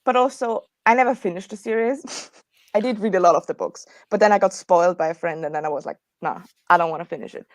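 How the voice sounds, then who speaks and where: A woman's voice: mid-range voice, quick pace, no echo. A young adult woman chatting casually as a panelist, rambling informally and often correcting herself. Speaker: panelist in a writers' livestream. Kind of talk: casual chat.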